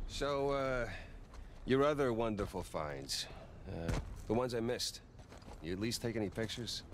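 A man's voice: on the low side, animated, measured pace. A man playing a cocky, teasing adult adventurer in a video game, with an American accent and a dry, sarcastic manner. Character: cocky teasing adult male adventurer, ex-convict older brother. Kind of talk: naturalistic video game acting. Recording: noisy game ambience behind voice.

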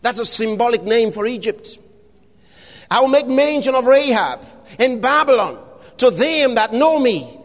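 High-pitched man preaching in a hall. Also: sing-song. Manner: impassioned, shouting scripture with long drawn-out phrases. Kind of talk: sermon.

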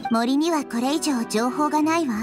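Woman playing a high-voiced gentle, wise little girl deity. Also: moderate pace, natural voice. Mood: gentle, thoughtful. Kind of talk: Japanese anime game voice acting. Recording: background music under the voice.

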